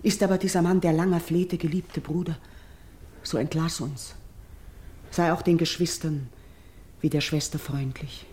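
Casting: devout noble priestess, lowish voice, solemn pleading verse, classical radio play. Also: female voice, mellow. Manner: solemn, declamatory, measured verse delivery.

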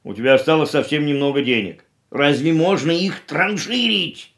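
An elderly, thrifty uncle in a radio play. He scolds and dismisses in an exasperated, emphatic way. His pitch leaps about in an animated manner. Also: normal speed. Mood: exasperated, scolding. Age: older.

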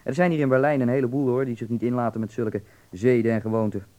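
Guy acting worldly young berlin man in a radio play, mellow voice, candid, pushes his point but reassuring. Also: mid-range voice, steady tone, quick pace, natural voice. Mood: candid, dismissive. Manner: earnest, persuasive, explaining patiently.

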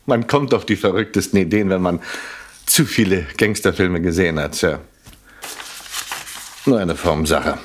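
Radio crime drama: shrewd police inspector, deep voice, polite, measured, drily probing. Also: male voice, slightly rough. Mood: dry, polite.

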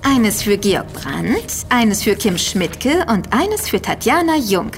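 An actress playing a young, impatient, sardonic and quick-witted woman in an audio drama, teasing her friends dryly. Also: medium pitch, big pitch swings, faint music behind. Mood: wry, knowing. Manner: teasing, dry sarcasm. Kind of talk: German audio drama dialogue.

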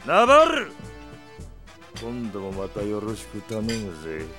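An actor playing a brash, rough bandit chief with coarse, commanding speech in an audio drama. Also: male voice, lowish voice, huge pitch swings, slow, bright, forceful tone, faint music behind, heavily acted. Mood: cocky. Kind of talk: anime-style audio drama acting.

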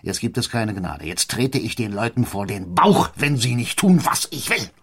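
An actor playing a cunning, domineering mayor in a German radio drama, emphatic and sardonic. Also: male voice, on the low side, sing-song, moderate pace, slightly rough, heavily acted. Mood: bitter, defiant. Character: blustering, cunning small-town mayor, crude and domineering.

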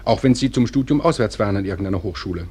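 An actor playing a dry, easygoing small-town newspaper publisher with a husky voice, speaking with small hesitations in a radio play. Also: male voice, fairly low pitch, lively intonation, very fast, a little mellow. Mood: wry, easygoing. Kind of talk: German radio play acting.

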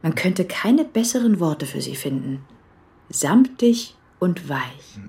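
A woman's voice: fairly low pitch, very animated, slow pace, dark tone, a little hiss or hum, exaggerated voice. A woman playing a curious, wondering female police investigator in a radio crime drama. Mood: enchanted.

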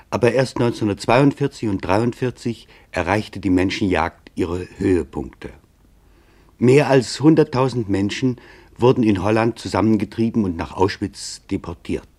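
A man narrating a scripted radio documentary play in a grave, deliberate manner with weighted pauses. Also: on the low side, a little mellow.

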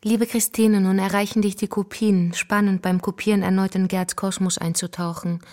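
A woman's voice: medium pitch, little pitch movement, normal speed, a little mellow. Woman reading terse keyword notes. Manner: terse, reads fragmentary keywords. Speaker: radio feature author and narrator. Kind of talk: calm reading of notes and letters.

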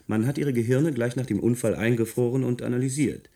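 An actor playing an earnest, troubled young man fresh from his studies in a German science-fiction radio play, sometimes halting as he speaks. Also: mid-range voice, creaky, mellow, no echo, studio quality. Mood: curious, polite. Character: earnest young male communicator, recently graduated, troubled by guilt.

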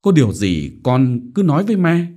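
A man's voice: medium pitch, very animated, moderate pace, voice pushed up. A man doing a fretful, doting mother. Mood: coaxing worried. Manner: coaxing, gentle, worried.

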